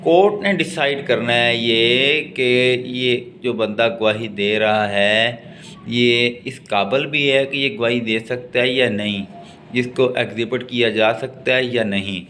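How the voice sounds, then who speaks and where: A man with a Pakistani accent giving a law lecture, reading out legal text and explaining it in a deliberate, halting way. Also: medium pitch, fairly bright tone, slight background noise.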